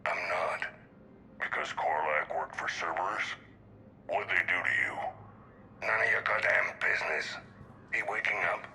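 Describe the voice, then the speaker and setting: An actor playing a gruff, cynical older mercenary in a video game, gravelly and curt. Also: male voice, low-pitched, normal speed, long pauses, pushed and bright, slight background noise, exaggerated voice. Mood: gruff, irritable. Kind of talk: dramatic game voice acting.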